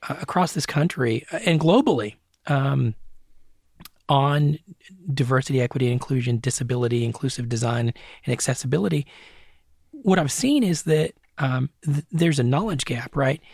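A middle-aged man with a gravelly, textured voice gives considered interview answers about his work. He restarts phrases and checks in with "right?" as he goes. The sound is close and dry, with no room around it. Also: medium pitch, normal speed, a little mellow, no room sound. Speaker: guest, advertising strategist and academic.

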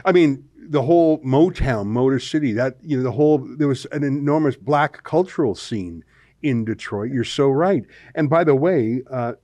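A man's voice: mid-range voice, normal speed, dark tone, no echo. A Canadian man hosting an opinionated political talk show, speaking emphatically with colourful, dramatic phrasing. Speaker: host of a political commentary show.

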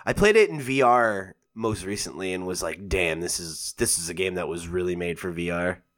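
A man's voice: low voice, moderate pace, a bit bright. A man in his twenties co-hosting a gaming podcast, chatting casually and rambling with lots of fillers and restarts. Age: 20s. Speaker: podcast co-host. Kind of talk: casual chat.

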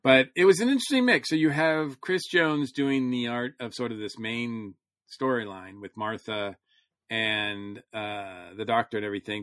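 A man's voice: lowish voice, a bit bright, dry recording, clean studio sound. A man talking casually as a podcast host, rambling a little as he thinks aloud. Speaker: podcast host reviewing comics. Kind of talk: casual chat.

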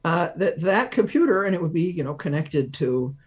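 A man being interviewed as a research physicist, explaining ideas through examples with thoughtful pauses. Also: on the high side, lively intonation.

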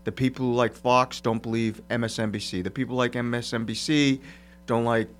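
A man with an average-pitched voice co-hosts a casual talk show. He talks in an easy, anecdotal way and teases his partner. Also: flat delivery, a little fast, a little hiss or hum. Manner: conversational, anecdotal, lightly joking.

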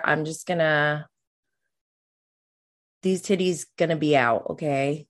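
A woman in her late thirties with a low voice and a slow pace. She talks like a casual podcast host, candid and self-deprecating, in informal everyday phrasing. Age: late 30s.

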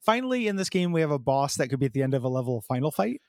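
A man with a froggy, slightly hoarse voice chats casually as a podcast co-host. He talks around a sore tooth, so some sounds come out a little unusual, and he stops and restarts often. The recording is close and dry. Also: mid-range voice, big pitch swings, a little mellow, dry recording. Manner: halting, lots of fillers and restarts. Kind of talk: casual chat.

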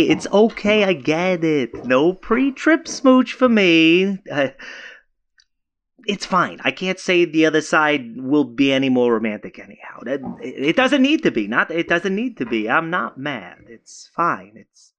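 An actor playing an eager-to-please, easily flustered young boyfriend in an audio drama, talking casually and stumbling over repeats. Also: male voice, fairly high pitch, very animated, measured pace, fairly bright tone, lo-fi sound. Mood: wounded, brushing off. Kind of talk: naturalistic found-footage audio drama acting.

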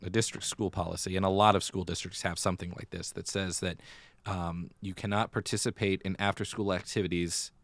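A man's voice: low voice, fairly even intonation, a little fast, a bit bright, no room sound. An American man co-hosting a podcast, chatting casually with a wry, dry sense of humor. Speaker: podcast co-host. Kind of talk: casual theatre news chat.

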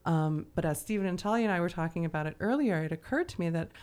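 Lower-voiced woman hosting a casual literary podcast. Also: lively intonation, fast talker, a little mellow, clear, resonant tone. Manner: conversational, frequent "like" and "you know". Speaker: podcast host.

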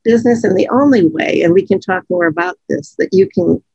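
A woman co-hosting a podcast, chatting frankly and laughing often, her voice low from allergies. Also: fairly quick, gravelly, darkish tone, husky, no room sound, lo-fi sound. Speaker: podcast co-host. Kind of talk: casual conversational podcast.

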